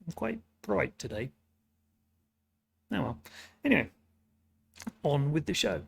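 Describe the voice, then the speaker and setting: A British man with a sniffly, hoarse voice, tired and chatty in a self-mocking way, who hosts a storytelling show and reads an epic poem aloud. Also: on the high side, big pitch swings, slow, a little hiss or hum. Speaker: storyteller host. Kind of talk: casual intro, then reading an epic poem aloud.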